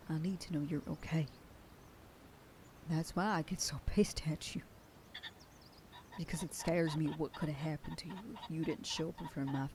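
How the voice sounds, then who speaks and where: A woman playing a protective werewolf sheriff girlfriend in an ASMR roleplay, scolding the listener and dropping into soft whispers. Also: sits low, gravelly, slight background noise. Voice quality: soft whisper in quieter passages. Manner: scolding, then softening into tender whispers. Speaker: voice actor in an audio roleplay.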